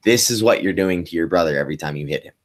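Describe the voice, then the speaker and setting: Young man with a deep boomy voice. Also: racing. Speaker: podcast host. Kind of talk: casual interview chat. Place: home music studio.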